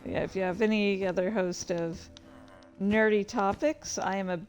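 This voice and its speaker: A woman's voice: medium pitch, steady tone, moderate pace, soft background music. Chatty, easily sidetracked woman streamer. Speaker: livestream host and streamer. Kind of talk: casual rambling livestream chat to viewers.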